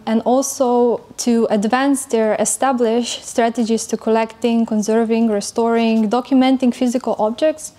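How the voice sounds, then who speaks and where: A woman giving an academic conference presentation in English, speaking carefully with frequent pauses and fillers. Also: fairly high pitch, warm tone. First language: not German.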